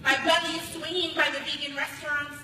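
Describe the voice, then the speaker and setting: An American woman performing spoken word poetry live with expressive, emphatic delivery into a stage microphone. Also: high voice, brisk. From Los Angeles, United States. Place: large hall at a conference ceremony. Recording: echoey hall, distant, faint noise.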